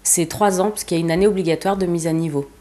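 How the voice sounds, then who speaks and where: A young woman with a notably low-pitched voice, answering interview questions in a casual, meandering way. She hesitates often, restarts her sentences and pads them with fillers. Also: fairly even intonation, quick pace, dark tone. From eastern France. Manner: hesitant, many fillers, laughs easily. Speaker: fashion designer guest.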